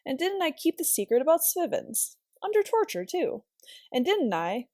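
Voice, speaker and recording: A woman's voice: high voice, big pitch swings, moderate pace, a little mellow, no echo, studio quality, voice pushed up. A woman voicing an earnest, defensive schoolboy who tends to lecture, in a storybook reading. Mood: indignant, pleading. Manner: earnest, old-fashioned schoolboy phrasing.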